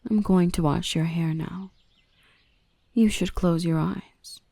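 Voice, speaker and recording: A woman playing a gentle, nurturing, softly dominant dark elf in an intimate ASMR roleplay, speaking close up in a soothing, reassuring, often half-whispered voice. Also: lowish voice, steady tone, slow, vocal fry, dry recording, heavily acted. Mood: soothing, tender.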